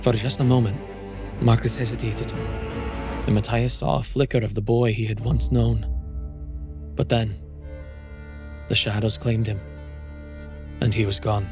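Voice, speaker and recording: A man reading scripted dramatic fantasy narration as a storyteller, in a measured, solemn manner. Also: on the low side, little pitch movement, music in the background.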